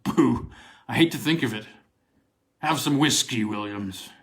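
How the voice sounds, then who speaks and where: A man voicing a chatty English gentleman in a storybook, recounting old country gossip in a halting, rambling, self-correcting way. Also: mid-range voice. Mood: uneasy. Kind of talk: acted dialogue in a read-aloud ghost story.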